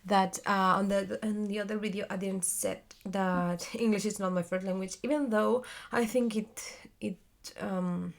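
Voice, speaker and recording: Hesitant Spanish-accented young woman, gravelly. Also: mid-range voice. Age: 20s. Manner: hesitant, many fillers and restarts, searching for words. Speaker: vlogger and theater actress. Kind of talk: casual talking-to-camera vlog.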